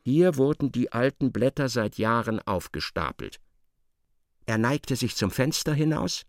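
Male narrator, literary radio play, lively intonation, medium pitch, dry studio sound. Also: a little slow, no echo.

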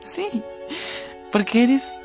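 A woman's voice: fairly high pitch, big pitch swings, drawn-out words, darkish tone, full character voice. A woman playing a naive, lovestruck young actress in a radio drama, tender, girlish and theatrical. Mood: enchanted. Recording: music behind the voice.

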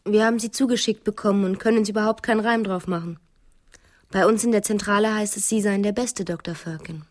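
An actress playing a restless, quick-witted wife who bluffs her way along, cool and sardonic, in a radio play. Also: mid-range voice, steady tone, close to an everyday voice. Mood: cool bluffing. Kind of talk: 1990s German radio play acting.

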